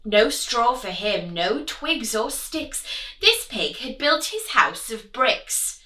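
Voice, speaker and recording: A woman teacher reading a rhyming storybook aloud to children in a sing-song, animated way, with little asides to her listeners. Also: fairly high pitch, slow, recorded from a distance. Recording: echoey room, voice from the mic.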